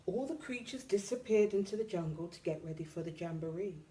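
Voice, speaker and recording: A woman reading a children's picture book aloud expressively, with dramatic, deliberate phrasing for young listeners. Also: lowish voice, dark tone, slight background noise. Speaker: storytime reader.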